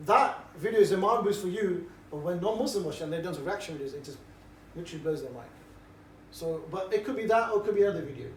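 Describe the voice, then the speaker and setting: A middle-aged man, giving a relaxed, jovial briefing to a group and mixing in cheeky banter. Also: high-pitched, quick pace. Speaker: street preaching trainer. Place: indoor room with a group. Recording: roomy echo, distant mic, faint background noise.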